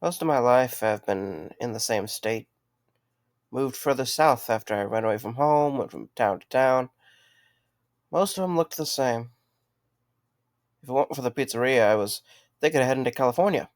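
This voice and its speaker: A man's voice: mid-range voice, animated, normal speed, pauses often, no echo, clean studio sound, lightly acted. A man playing a sarcastic, easygoing young night guard in a conversational audio drama. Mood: casual, reflective. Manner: wry, conversational.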